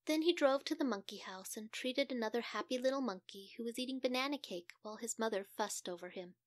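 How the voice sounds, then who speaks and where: A woman's voice: fairly high pitch, fairly quick, dry recording. Woman reading a children's story, close and dry. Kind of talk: scripted children's story narration.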